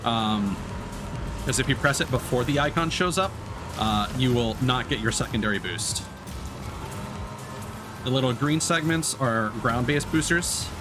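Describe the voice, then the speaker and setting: A man giving casual, halting gameplay commentary as a live streamer, explaining as he plays. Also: medium pitch, expressive. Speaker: live streamer playing a racing game. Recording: game music behind the voice.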